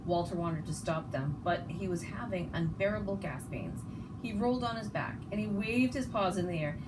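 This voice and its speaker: A woman's voice: lowish voice, lively intonation, moderate pace, far from the mic. A woman reading a children's story aloud expressively, sometimes stumbling and rereading words. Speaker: storybook reader. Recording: noticeable background noise.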